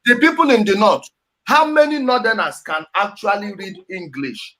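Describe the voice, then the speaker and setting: A man's voice: sits high, lively intonation, normal speed, sharp tone. A man with a Nigerian accent hosting a heated political discussion, speaking emphatically and repeating his phrases. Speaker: host.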